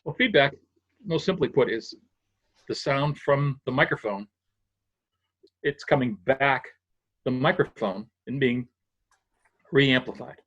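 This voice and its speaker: An older man from Massachusetts talks a guest through sound engineering in a patient, explanatory way, restarting his phrases as he thinks. His voice is husky and sits at an ordinary pitch for a man. The recording is dull and lo-fi. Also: a little slow, pauses often, a bit bright. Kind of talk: casual technical interview. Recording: dull lo-fi audio.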